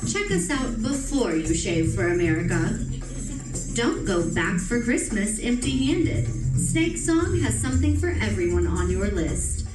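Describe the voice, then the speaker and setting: A woman's voice: mid-range voice, big pitch swings, unhurried. A woman reading a scripted sponsor advertisement in the manner of an old-time radio announcer. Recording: roomy, distant pickup, music behind.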